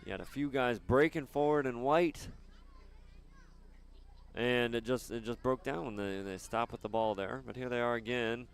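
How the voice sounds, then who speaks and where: A man doing live soccer commentary outdoors, chatting casually and haltingly between plays. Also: medium pitch, clean tone. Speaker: sports play-by-play announcer.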